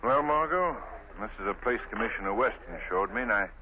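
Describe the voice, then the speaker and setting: An actor playing a calm, thoughtful gentleman detective, speaking in a measured, explanatory way in a 1930s radio drama. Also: lowish voice, close to an everyday voice. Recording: tinny old radio broadcast, faint hiss.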